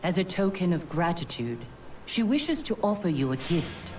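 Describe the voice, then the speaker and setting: A woman's voice: lowish voice, big pitch swings, normal speed, noisy background. A woman voicing a stern, aloof winged superior in a video game, speaking formally and imperiously. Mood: solemn. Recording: slightly distant, faint music behind.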